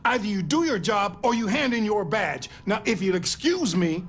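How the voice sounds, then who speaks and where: A man's voice: high-pitched, animated, normal speed, slightly rough, soft background music. An actor playing a stern, exasperated male police captain who gives blunt, profane orders. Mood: angry.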